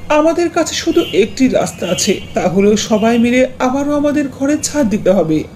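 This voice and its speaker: A woman's voice: on the high side, very animated, moderate pace, darkish tone, a little hiss or hum. A woman voicing a poor, cautious village husband and father in a cartoon fairy tale, sounding resigned. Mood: worried, cautious.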